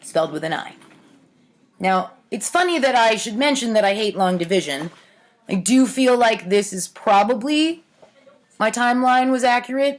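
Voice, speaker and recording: A woman reading stories aloud to viewers, theatrical in the reading with wry, casual, offhand commentary. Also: a little high. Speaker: host reading aloud childhood writing.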